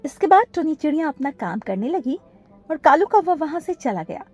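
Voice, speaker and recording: A woman's voice: high voice, sing-song, normal speed, warm tone, soft background music. A woman narrating a children's cartoon story from a script.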